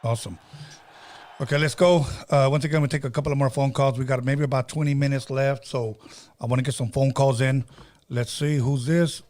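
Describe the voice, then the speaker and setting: A man's voice: medium pitch, fairly even intonation, brisk, a little mellow. A man hosting a call-in radio show, upbeat and slangy as he takes callers. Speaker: host.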